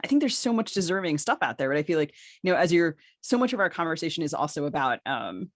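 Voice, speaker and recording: A woman's voice: low voice, big pitch swings, fast talker, no echo, studio quality. A woman co-hosting a conversational literary discussion, thinking aloud as she builds long, discursive questions. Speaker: podcast co-host, interviewer.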